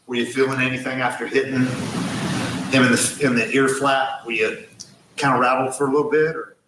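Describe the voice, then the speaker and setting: A man as a reporter asking casual, loosely phrased questions after a game. The room is echoey, and background noise is clearly heard. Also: medium pitch, level tone, normal speed. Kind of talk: postgame interview questions.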